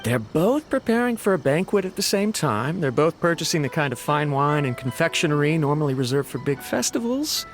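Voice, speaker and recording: A man's voice: on the high side, very animated, fairly quick, hardly any pauses. An actor playing a friendly, polite young townsman in a video game who chats and speculates. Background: game music.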